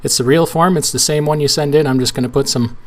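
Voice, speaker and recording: Man, hoarse today, casual trucking-channel host; loose, restart-heavy tutorial delivery. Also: fairly high pitch, fairly even intonation, fast. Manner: casual, rambling with restarts and fillers. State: hoarse, weak voice. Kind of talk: screen-share tutorial walkthrough.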